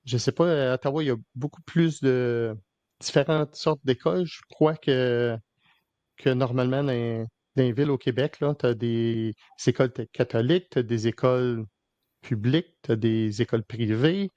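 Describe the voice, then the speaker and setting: A man's voice: medium pitch, normal speed, dark tone, no room sound, highs cut off. A man hosting a podcast interview in casual Québécois French, hesitant, with many fillers and restarts. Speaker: podcast host.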